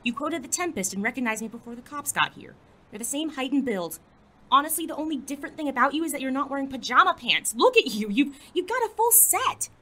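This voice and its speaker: Give the audio drama a woman playing a sarcastic teenage girl. Her voice is high and sharp, with very lively pitch swings. She is blunt, snarky and openly incredulous. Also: quick pace. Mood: scornful. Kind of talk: comedic audio drama acting.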